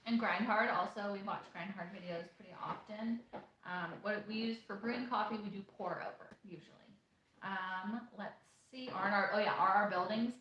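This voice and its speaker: A woman's voice: medium pitch, moderate pace, highs cut off, slight background noise. A woman in her late twenties with a mid-range voice, co-hosting a casual Q&A. She reads viewer questions aloud and chats loosely, with plenty of "um"s. The room around her is echoey. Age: 20s. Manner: chatty, reads questions aloud, many fillers. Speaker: co-host of a homesteading channel. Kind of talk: casual live Q&A chat. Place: room in an off-grid shop.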